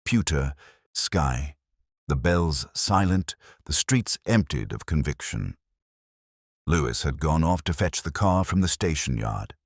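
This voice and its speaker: A man plays a weary middle-aged detective telling his own case as audio drama. His voice is extremely low and moves expressively up and down, with a measured, brooding, literary delivery. The recording is close and dry. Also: no room sound, exaggerated voice. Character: weary, razor-minded middle-aged English male police inspector narrating his case. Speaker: narrator. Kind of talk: scripted first-person detective audio drama narration.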